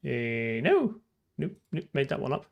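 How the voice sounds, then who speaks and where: A man's voice: medium pitch, sing-song, unhurried, gravelly, muffled recording. A man thinking aloud in casual live coding commentary, muttering to himself and trailing off mid-thought. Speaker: programmer livestreaming game development.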